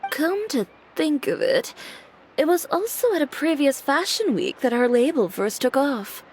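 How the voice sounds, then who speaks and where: A woman's voice: high voice, lively intonation, measured pace, a little mellow, slight background noise. A woman voicing a composed, businesslike female fashion designer and shop owner in a game, dry and matter-of-fact. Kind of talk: game voice acting. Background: game music.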